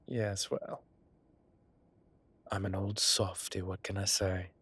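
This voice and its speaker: Guy doing an ancient god type for roleplay audio, deepish breathy voice, slow gossipy drawl, teasing old romantic. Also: steady tone, fairly bright tone, no room sound, full character voice. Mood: musing, tender. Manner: theatrical, drawling, gossipy and indulgent. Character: ancient male godlike being, teasing old romantic softy.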